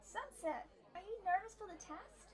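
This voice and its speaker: A woman voicing a friendly, upbeat young pony classmate in an animated fan audio drama. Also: very high voice, normal speed, far from the mic. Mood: curious, friendly.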